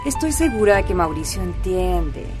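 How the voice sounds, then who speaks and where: A woman's voice: fairly low pitch, very animated, normal speed, over music. A Costa Rican woman playing a firm, loyal lawyer friend in a radio drama, encouraging and insistent. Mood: reassuring, firm.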